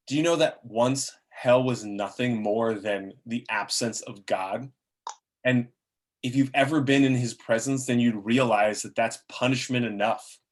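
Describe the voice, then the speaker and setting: A man's voice: mid-range voice, close to an everyday voice. A man playing a bitter, scheming male demon and fallen muse in a script table read, speaking in theatrical, grandiose monologue with the odd stumble. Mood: scornful. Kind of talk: amateur table reading of a film script.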